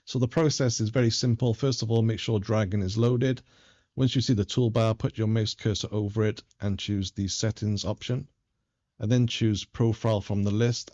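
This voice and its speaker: A man with a low, dark and mellow voice presents a how-to screen tutorial. He moves through the steps in a plain, methodical manner. The recording is a little dull and lo-fi, lacking crispness on top. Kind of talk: step-by-step screen tutorial narration.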